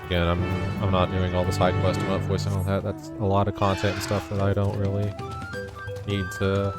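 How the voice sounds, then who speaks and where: A man's voice: deep voice, monotone, fairly quick. A man giving casual, offhand commentary as a game streamer, talking half to himself. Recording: music behind the voice.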